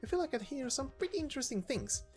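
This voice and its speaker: Woman doing a playful, casual storyteller character, pitched up. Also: big pitch swings, fast talker, darkish tone, soft background music. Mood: playful, curious. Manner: light, playful, conversational. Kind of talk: voiced visual novel story reading.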